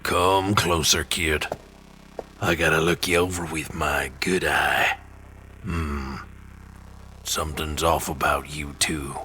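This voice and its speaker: A hard, intimidating old man in a supernatural audio drama. His voice is very low and edged, with a slow delivery. He is gruff, foul-mouthed and used to giving orders. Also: steady tone, sharp tone, a little hiss or hum, full character voice. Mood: gruff, irritated. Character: stern, foul-mouthed old one-eyed demon-hunting man, commanding, suspicious.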